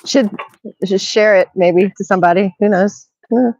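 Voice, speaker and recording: A woman's voice: medium pitch, fairly even intonation, moderate pace, darkish tone, no echo, lo-fi sound. Tired middle-aged woman on a call. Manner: chatty, reads live chat aloud. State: tired, recovering from illness. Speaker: channel host. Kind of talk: casual video call chat.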